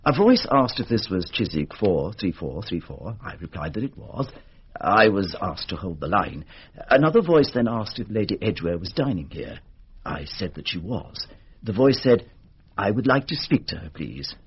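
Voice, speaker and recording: A very husky, deferential English butler. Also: male voice, fairly low pitch, very animated, normal speed, full character voice. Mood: deferential, careful. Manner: formal, respectful, hesitant. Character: deferential, careful, formal English male butler. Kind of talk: radio drama acting. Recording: dull lo-fi radio recording.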